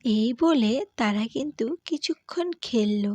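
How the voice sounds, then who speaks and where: Mellow-voiced woman narrating a cartoon story. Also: on the high side, lively intonation, a little slow, no echo.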